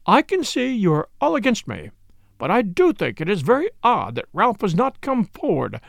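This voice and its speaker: Man playing a plaintive older society mother, high swooping. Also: wildly swooping pitch, normal speed, gravelly, no room sound, studio quality, heavily acted. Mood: obstinate. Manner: plaintive, rambling, fussy. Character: fretful older society mother, plaintive, self-pitying, obstinate.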